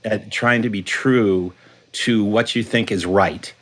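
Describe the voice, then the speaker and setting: A man's voice: fairly low pitch, steady tone, takes it slowly. Reflective man chatting in an interview. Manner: reflective, chuckling storyteller. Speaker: guest writer, creator of a detective series. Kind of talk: conversational interview answers.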